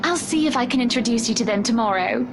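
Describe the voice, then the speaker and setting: A well-bred society wife for a visual novel. Her voice sits high, with an affectionate, dramatic and fretful manner, as if used to being pampered. Music plays behind her. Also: lively intonation, fast. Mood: gracious, insistent. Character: anxious, pampered high-society pregnant wife, gracious and insistent.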